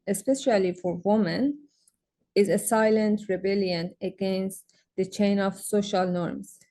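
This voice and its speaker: A woman's voice: medium pitch, warm tone. A young woman with a Persian accent, a native Farsi speaker, giving an academic symposium talk about her artwork, reading prepared text with frequent fillers and restarts. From Iran. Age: young adult. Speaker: graduate student artist presenting.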